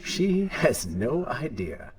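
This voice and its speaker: Sardonic, swooping dark inner voice. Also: male voice, mid-range voice, big pitch swings, slow, background noise. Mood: mocking. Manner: mocking, needling. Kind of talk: dramatic video game voice acting.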